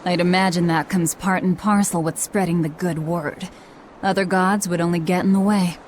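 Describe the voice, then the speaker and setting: A woman's voice: lowish voice, steady tone, normal speed, slight background noise, close to an everyday voice. An actress playing a blunt, cynical, self-serving young woman in a video game, with a dry, sardonic delivery. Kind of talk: English video game voice acting.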